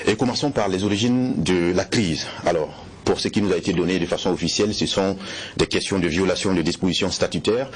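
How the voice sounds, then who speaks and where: A man conducts a formal political interview. His voice is very low and a bit husky, and he asks his questions in a measured, formal way. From Burkina Faso.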